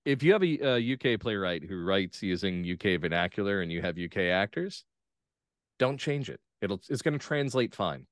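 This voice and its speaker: A man with a low voice talks casually as a podcast guest. His intonation is very lively, and he phrases thoughts reflectively with pauses. The sound is close and dry. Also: no echo. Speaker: guest playwright and actor. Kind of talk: casual discussion.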